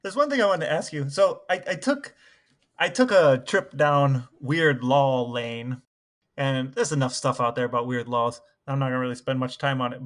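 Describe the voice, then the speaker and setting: A man's voice: medium pitch, fairly bright tone. A man co-hosting a podcast, chatting casually and with humour. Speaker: podcast co-host. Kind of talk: casual humorous chat.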